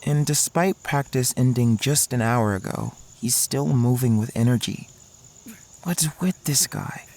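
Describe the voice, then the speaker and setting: Young male lead played by a man: awkward, anxious college freshman narrating a drama, mid-pitched, over music. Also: measured pace, a little mellow, close to an everyday voice. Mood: anxious, watchful. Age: young adult. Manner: hesitant, stammering in dialogue. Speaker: narrator and main character. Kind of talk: first-person audio drama narration.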